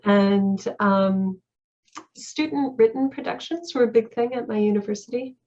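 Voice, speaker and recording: A woman's voice: fairly high pitch, normal speed, darkish tone. A woman chatting casually as a participant in a conference discussion, heard over a video call. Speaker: panel participant, conference staffer. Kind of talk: casual chat. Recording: slightly dull video-call audio.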